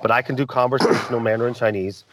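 A man's voice: mid-range voice, fairly even intonation, fast talker, background noise. A man from the United States talking earnestly as an actor guest in a casual interview, often trailing off mid-sentence.

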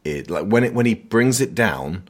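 A man's voice: lowish voice, expressive, moderate pace. A man hosting a casual film discussion, giving his opinions and wandering into digressions. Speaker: podcast host discussing films.

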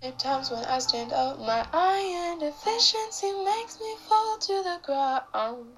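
A young woman singing her own song in a tired, slightly raspy voice. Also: sits high, animated, slow pace. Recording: home demo with guitar playing.

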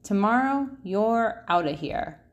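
Storybook boy, voiced by a woman: grudging yet soft-hearted goldfish owner, plain-spoken, emphatic, unhurried. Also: mid-range voice, animated, dull audio.

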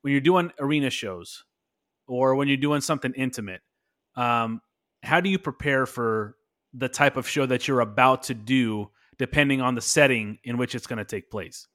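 Podcast host guy asking stuff, kinda halting, uh uh uh. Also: mid-range voice, fairly bright tone. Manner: hesitant, lots of "uh" and restarts while asking questions. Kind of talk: interview questions.

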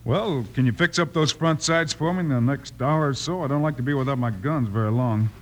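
A calm, capable frontier lawman, played by a man in a radio western. His American voice is mid-pitched and sure, over a faint vintage hiss. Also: a little fast, close to an everyday voice. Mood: calm, matter-of-fact. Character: calm, capable Old West lawman hero. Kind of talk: old-time radio western acting.

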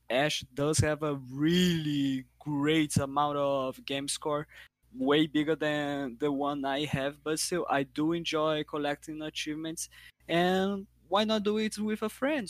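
A man from Brazil with a Brazilian Portuguese accent, co-hosting a casual gaming chat, playful and laughing often, with halting restarts. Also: on the high side, no echo. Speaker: podcast co-host.

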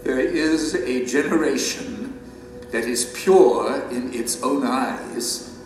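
Man playing a slow, grave sage in echo. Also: mid-range voice, close to an everyday voice. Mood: grave, earnest. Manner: measured, preacherly, earnest. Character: grave male sage, teacher of ancient writings. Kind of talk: solemn recitation in audio drama. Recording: echoey hall, music behind.

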